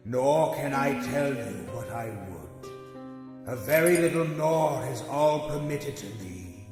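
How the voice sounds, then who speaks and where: An actor playing the chained ghost of an old man, tormented and doomed, speaking in a slow, wailing, solemn way. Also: medium pitch. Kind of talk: radio play acting. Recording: echoey hall reverb with faint music.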